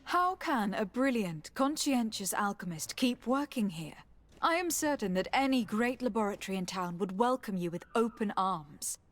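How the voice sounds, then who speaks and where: A woman's voice: medium pitch, lively intonation, normal speed, lightly acted. A woman playing a composed, articulate, authoritative noble diplomat in a video game. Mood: persuasive.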